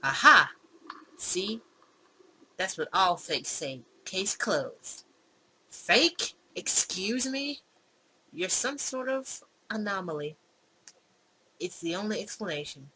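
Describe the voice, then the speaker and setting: A woman plays a visual novel man, bewildered and quick to argue. The delivery is indignant and pedantic with a sarcastic edge, at a measured pace. Clear noise sits behind the voice. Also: mid-range voice, very animated, sharp tone, heavily acted, rough recording. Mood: smug, accusing. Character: bewildered, argumentative man facing his own double. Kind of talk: visual novel character read-aloud.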